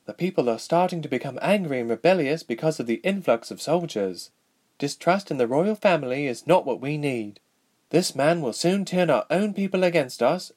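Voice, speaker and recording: A petite young princess, acted by a male narrator in a fantasy storybook. She speaks in a raised, swooping pitch. Her manner is earnest and emphatic, with an impatient, exasperated edge. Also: a little mellow. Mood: worried.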